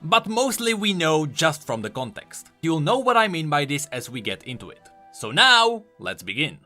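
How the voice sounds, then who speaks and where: Guy doing a lore explainer, voice kinda high and really lively, music behind him. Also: normal speed. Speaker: host of a game lore video. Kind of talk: scripted explainer narration with commentary.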